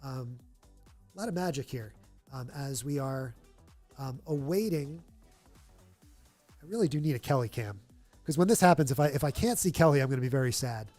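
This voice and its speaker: A man's voice: medium pitch, animated, normal speed, long pauses, a little mellow, faint music behind. A man with a nasal voice hosting a live charity stream, chatting upbeat to fill time. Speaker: livestream host. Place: stadium event room.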